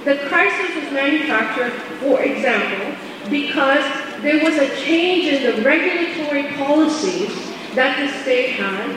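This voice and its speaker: A woman from India with an American Midwestern accent, giving an earnest, measured conference talk with fillers and restarts. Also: sits high, expressive. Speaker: conference speaker. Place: large conference hall. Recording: echoey hall recording from far off, background noise.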